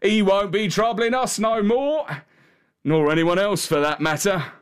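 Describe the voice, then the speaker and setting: A man's voice: high voice, expressive, a little slow, clean tone, voice pushed up. A man voicing a boastful, sly coachman with folksy, ungrammatical speech in a storybook tale.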